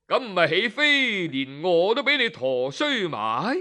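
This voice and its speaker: A man voicing a smug, powerful male minister who drawls with slow mockery. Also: high voice, sing-song, bright, forceful tone, heavily acted. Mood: sneering. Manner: drawling, mocking, sarcastic.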